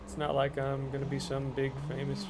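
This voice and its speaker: A gravelly-voiced man talks in a flat, rambling, self-deprecating way while he streams a game from home, keeping the volume down for the house. His cheap microphone picks up plenty of hiss, with engine sounds from the game running under his voice. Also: medium pitch, brisk. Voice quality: monotone. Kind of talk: casual gameplay commentary. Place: home, kept quiet for others in the house.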